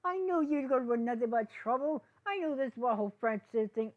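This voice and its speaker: A woman voicing a grumbling, accusing male pony troublemaker in a storybook reading, loud and complaining. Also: fairly high pitch, animated, brisk, pitched above the performer's own voice, lightly acted. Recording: dull, lo-fi sound.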